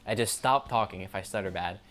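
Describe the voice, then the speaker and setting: Fast-talking rambling man. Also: lowish voice, a bit bright, slight background noise. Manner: rambling, corrects himself mid-sentence; stutters now and then. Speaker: YouTuber. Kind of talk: unscripted casual monologue to camera.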